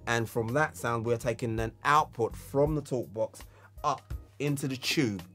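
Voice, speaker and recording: Male tutor, mid-range voice, clear step-by-step instruction with stressed key words, some music behind. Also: mid-range voice, a little slow, a bit bright. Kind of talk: instructional tutorial on talkbox technique.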